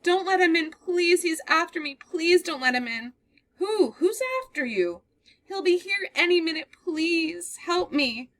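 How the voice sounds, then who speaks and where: A woman's voice: high voice, normal speed, bright, forceful tone, dry recording, pitched above the performer's own voice. A woman voicing a terrified young woman who has fled a killer, breathless and pleading as she reads a play aloud.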